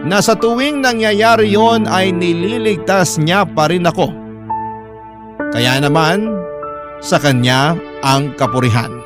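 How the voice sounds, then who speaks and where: A man's voice: sits high, slow, pauses often, over music. A man narrating a personal letter in an earnest, sorrowful storytelling manner. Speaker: narrator reading a listener's letter.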